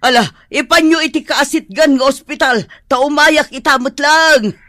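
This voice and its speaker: A woman acting a demanding, wealthy mistress of the house in a radio drama, brisk, fussy and commanding. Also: high voice, a bit bright, no echo, studio quality. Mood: alarmed, curt. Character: imperious, demanding wealthy mother and lady employer.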